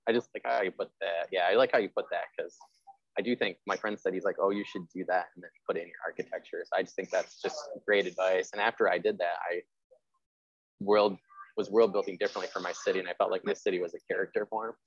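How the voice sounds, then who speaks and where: Fast-talking man with a low voice. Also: fairly even intonation, dull audio. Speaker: podcast host and writer. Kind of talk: casual interview chat.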